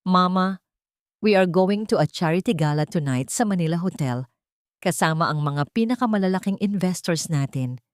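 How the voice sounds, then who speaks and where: A woman's voice: lowish voice, moderate pace, dry recording, clean studio sound, natural voice. A woman voicing a worried, devoted adult son, a wealthy businessman, in an audio drama, speaking earnestly. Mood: earnest, coaxing. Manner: concerned, earnest, mixing in English.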